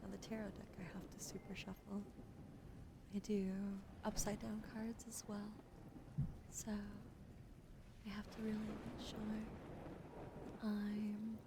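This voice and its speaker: A young woman streamer, chatting softly in an ASMR style and often slipping into whispers while giving tarot readings on a close microphone. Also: mid-range voice, very noisy. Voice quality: gravelly fry, often whispered. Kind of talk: casual ASMR chat, soft-spoken.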